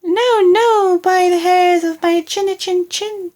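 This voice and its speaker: Woman acting a small male storybook pig, very high-pitched, squealing, pleading, cheeky, frightened. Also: steady tone, slow, a little mellow. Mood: defiant. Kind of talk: children's storybook character voice.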